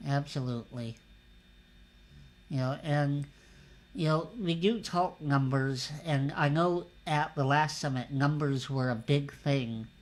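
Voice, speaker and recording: A man hosts a casual livestream interview. He talks in a relaxed, conversational way, and his voice is a little higher than most men's. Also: takes it slowly. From California. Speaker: interview show host.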